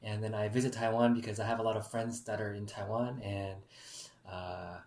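A man in his thirties from California, talking in a relaxed, soft-spoken way in a casual group chat. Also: fairly low pitch, moderate pace. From California, United States. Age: 30s. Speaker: podcast host. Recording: roomy echo.

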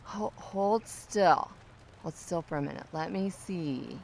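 An actress playing a muddled, tipsy, pushy car passenger who talks haltingly and repeats herself in an audio drama. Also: female voice, lowish voice, vocal fry, a little mellow, slight background noise. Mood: confused, pleading.

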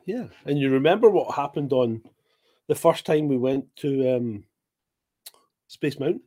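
A man's voice: mid-range voice, measured pace, mellow. A man co-hosting a podcast, chatting casually, laughing often and swearing freely. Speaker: podcast co-host. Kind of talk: casual chat.

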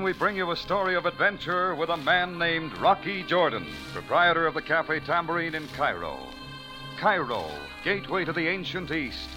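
An American man announcing a 1940s radio adventure drama in scripted style on a crackly old recording. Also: on the high side.

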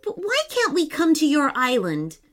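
A woman's voice: sits high, sing-song, measured pace, voice pushed up. A woman voicing a polite, brave young mermaid girl in a children's story, earnest and caring.

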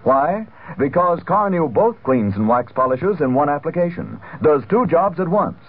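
A man's voice: a little high, lively intonation, moderate pace, husky. A man reading a commercial as a 1940s radio announcer. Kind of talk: 1940s radio advertising read. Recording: thin, narrow old radio sound.

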